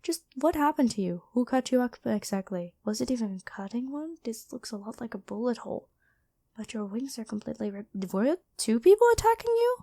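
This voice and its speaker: A woman playing a gentle, dutiful angel medic in an ASMR roleplay, speaking soothingly and caringly to one listener. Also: fairly high pitch, very animated, fairly quick. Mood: tender, concerned.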